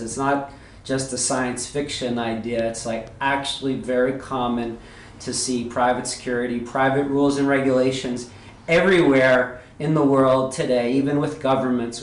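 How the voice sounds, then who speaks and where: A man with a mid-range voice recounts how he came to his ideas in an interview, relaxed and a little hesitant. He sounds like he is in an echoey room, with a faint hum of noise behind him. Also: mid-range voice. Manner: relaxed, hesitant, with many "uh" fillers. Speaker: interview guest.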